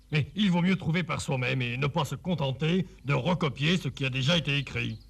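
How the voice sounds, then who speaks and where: A husky, creaky puppet animal from a children's TV show, pitched a bit high. It is a kindly, fussy friend who stammers, repeats words and gently teases. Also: male voice, normal speed. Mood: amused. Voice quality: gravelly, husky. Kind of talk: children's TV character dialogue.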